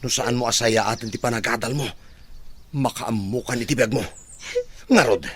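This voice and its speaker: An actor playing a stern, angry older brother in a radio drama, scolding in a Filipino Ilocano accent. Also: male voice, mid-range voice, normal speed, lightly acted. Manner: scolding, forceful delivery.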